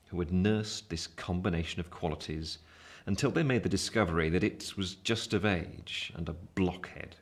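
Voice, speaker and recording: An actor playing a reflective gentleman narrating his life story in a radio play, in measured, formal literary style. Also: deep voice.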